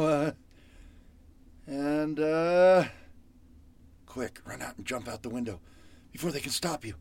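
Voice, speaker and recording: An actor playing a panicked, foul-mouthed captive in old-time radio horror style, halting and melodramatic. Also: male voice, a little high, exaggerated voice. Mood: weak, anxious. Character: panicked, foul-mouthed captive man pleading and scheming to escape.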